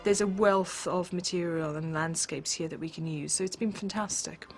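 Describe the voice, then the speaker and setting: A woman's voice: lowish voice, fairly even intonation, moderate pace, over music. A woman speaking upbeat in an interview.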